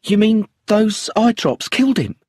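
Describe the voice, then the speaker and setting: A man voicing a frightened young widow in an audiobook, talking high, shaky and pleading. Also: animated, fairly quick, darkish tone, husky, dry recording, clean studio sound. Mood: anxious.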